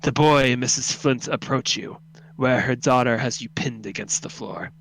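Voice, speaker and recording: A man's voice: mid-range voice, normal speed, a bit bright, low-quality recording. A man narrating a second-person horror story in a dramatic, solemn, intense reading.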